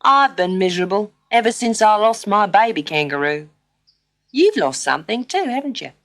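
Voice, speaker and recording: A woman voicing a gentle, motherly grey kangaroo in a children's storybook, chatty, kindly and emphatic. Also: mid-range voice, very animated, moderate pace, voice pushed up. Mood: gentle sympathy.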